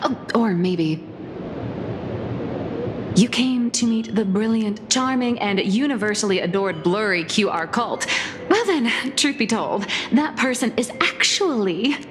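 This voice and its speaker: A woman's voice: mid-range voice, expressive, measured pace, noisy background, lightly acted. A woman playing a smug, theatrical young female magazine boss in a video game, haughty and playful. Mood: teasing, showy. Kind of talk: video game character acting. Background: game music.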